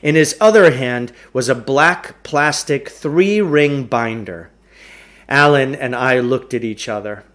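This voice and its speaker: A man's voice: medium pitch, slow pace, a bit bright. A man reading a children's novel aloud as a storyteller, in scripted narration.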